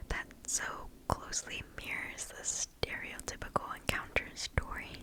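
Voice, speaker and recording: A woman whispers right up against the microphone in a soft, breathy voice. She reads a story aloud in ASMR style at a very slow, deliberate pace, leaving pauses between phrases. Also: poor audio. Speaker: ASMR host reading stories aloud. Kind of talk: ASMR reading of first-person scary stories. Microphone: very close microphone.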